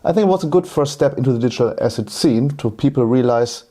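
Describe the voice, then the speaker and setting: A man's voice: mid-range voice, animated, fast, warm tone. A man in his thirties with a German accent, telling his story casually as an interview guest. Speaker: podcast guest, entrepreneur.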